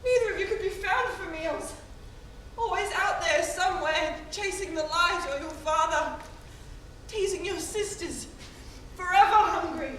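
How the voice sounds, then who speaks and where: Woman playing dead mother's spirit, piercingly high. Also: unhurried, a bit bright. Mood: fond, reproachful. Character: anguished dead mother's spirit, fond, wailing, maternal. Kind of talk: theatrical tragic monologue. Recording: very echoey hall, distant mic, faint noise.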